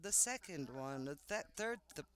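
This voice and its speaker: A man's voice: fairly high pitch, expressive, moderate pace, fairly bright tone, smooth. A man interpreting a conference talk simultaneously, in a choppy, stop-start way.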